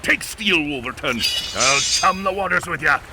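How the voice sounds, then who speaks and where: A man's voice: fairly high pitch, expressive, moderate pace, very noisy. A man playing a roguish, theatrical old pirate captain in a growling pirate dialect. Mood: blustering. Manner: growling pirate bluster, rolling "arr". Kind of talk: audio drama acting.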